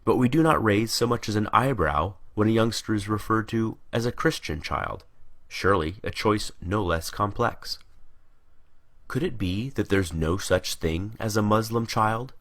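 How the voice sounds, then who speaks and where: A man narrating a scripted monologue in a deliberate, emphatic, questioning way, as if addressing the listener directly. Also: fairly low pitch, fairly bright tone.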